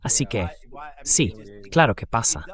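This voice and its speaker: Synthetic male voice with lively intonation. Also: mid-range voice, unhurried. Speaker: translation voice-over. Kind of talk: dubbed political interview, conversational commentary.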